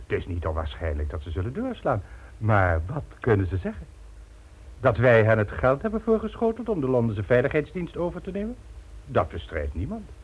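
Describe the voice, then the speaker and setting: An actor playing a cool, calculating crime boss in a radio drama, measured and smugly explanatory. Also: male voice, lowish voice, breathy edge. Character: cool, calculating male crime boss, methodical and self-assured. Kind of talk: Dutch radio drama acting. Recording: dull old radio recording.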